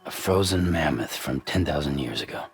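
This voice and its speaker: A man playing a gruff, sceptical video game hero who speaks in terse, blunt phrases with a gravelly voice. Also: rumbling low, expressive, normal speed, exaggerated voice. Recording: music behind the voice.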